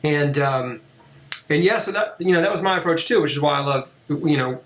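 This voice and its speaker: A man hosting an interview, chatty and relaxed, with many fillers. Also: fairly high pitch, moderate pace. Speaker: podcast host. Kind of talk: conversational interview. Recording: echoey room.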